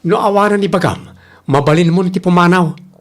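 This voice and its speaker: A man's voice: high voice, a little fast, background noise, close to an everyday voice. A man playing a callous, defensive young boyfriend in an amateur audio drama, curt and dismissive, with an Ilocano accent. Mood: irritated, dismissive.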